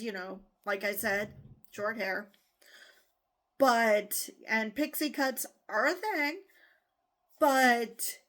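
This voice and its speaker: A woman's voice: a little high, fairly bright tone. A woman hosting a casual news commentary show, blunt and exasperated, swearing freely and rambling through asides and restarts.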